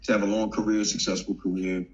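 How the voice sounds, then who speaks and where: A man in his thirties from Virginia with a softened Southern accent, a former football player turned actor chatting easily as a guest, laughing often and full of fillers. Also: on the low side, quick pace, muffled recording. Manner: relaxed, laughs often, many fillers and restarts. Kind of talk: casual interview chat. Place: home, remote video call. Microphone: video call.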